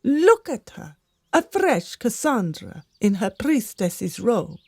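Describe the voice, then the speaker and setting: A woman's voice: on the high side, very animated, takes it slowly, darkish tone, slightly rough, no room sound, studio quality, exaggerated voice. A woman playing an older gossiping servant in a radio drama, secretive, theatrical and conspiratorial. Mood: protesting.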